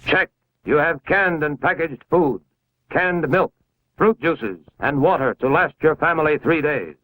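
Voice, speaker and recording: Formal 1950s American male film narrator, higher-pitched. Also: unhurried, a bit bright, no room sound, poor audio. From United States. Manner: formal, authoritative, instructional. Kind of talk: 1950s civil defense film narration, scripted. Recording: vintage 1950s film soundtrack.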